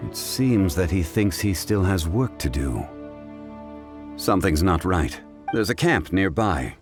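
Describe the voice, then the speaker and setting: A composed, learned gentleman in a game, with a very low voice that rises and falls expressively. His delivery is formal and measured, with some music behind. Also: big pitch swings. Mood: composed, courteous. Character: dignified, composed, scholarly gentleman consultant, adult male. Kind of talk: video game voice acting.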